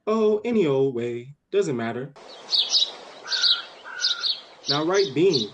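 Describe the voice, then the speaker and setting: A man's voice: a little high, sing-song, measured pace, background noise. A young man playing an eager, bossy, imaginative little girl in a radio play, with earnest impatience. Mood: bossy, impatient. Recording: dull lo-fi audio.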